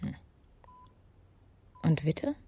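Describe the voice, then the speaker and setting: A woman's voice: drawn-out words, warm tone. An actress playing a guarded, dry-witted woman investigator in a naturalistic radio crime drama, murmuring laconically. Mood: sober, sardonic.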